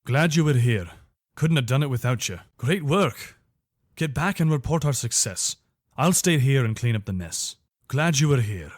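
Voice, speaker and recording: A man's voice: mid-range voice, lively intonation, normal speed, no echo, close to an everyday voice. Guy voicing a wary, loyal imperial soldier for a game, earnest military type, very dry recording. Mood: friendly. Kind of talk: video game character voice lines.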